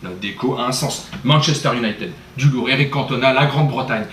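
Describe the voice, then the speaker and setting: A man in his thirties playing an excitable, football-obsessed dinner host who rambles with lots of fillers. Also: mid-range voice, brisk, lightly acted. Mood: eager. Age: 30s. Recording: roomy, distant camera audio, faint noise.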